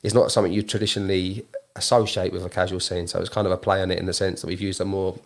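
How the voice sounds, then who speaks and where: A man's voice: sits low, level tone, racing, vocal fry. A man with a southern English accent chatting casually as an interview guest, explaining things in a self-deprecating way. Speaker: guest, clothing brand founder.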